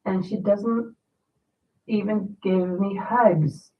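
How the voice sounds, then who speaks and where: A woman in her fifties chats loosely and rambles, her voice a little nasal and raspy from a lingering cold. She sits some way from the mic. The sound is thin like a phone line and echoes in the room. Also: medium pitch, steady tone, extremely slow, far from the mic. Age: 50s. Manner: chatty, rambling, reads viewer comments aloud. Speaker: crochet livestream host. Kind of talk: casual livestream chat. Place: home.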